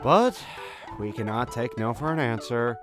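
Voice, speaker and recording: A man's voice: medium pitch, expressive, a little slow, over music. A man hosting a game walkthrough with casual, conversational commentary and joking asides. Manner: jokey, conversational, slips into mock dialogue. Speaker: gaming video host.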